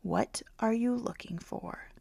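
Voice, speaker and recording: A woman voicing a teasing, self-assured male librarian in an audiobook, unhurried and faintly condescending. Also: fairly high pitch, gravelly, warm tone, breathy edge, dry recording, in a whisper, heavily acted. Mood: probing. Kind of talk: audiobook character dialogue.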